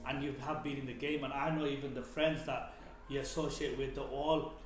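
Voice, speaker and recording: Chatty host in an echoey room. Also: male voice, mid-range voice, level tone, brisk, a little hiss or hum. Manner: chatty, slangy, interjects often. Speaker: podcast host. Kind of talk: casual interview chat. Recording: very echoey room, faint music.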